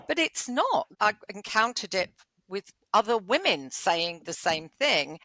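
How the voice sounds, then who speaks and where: A British woman hosting a conversational interview, with a loud voice and a blunt, outspoken manner. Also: mid-range voice, dry recording, poor audio. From United Kingdom. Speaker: podcast host.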